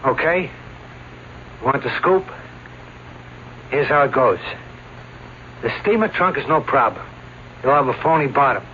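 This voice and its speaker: An actor playing a brash, cocky escape artist who talks in streetwise slang, in a vintage radio drama. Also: male voice, medium pitch, lively intonation, unhurried, long pauses, natural voice. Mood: cocky, scheming. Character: brash, boastful male escape artist and showman, married. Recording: dull, noisy old radio drama recording.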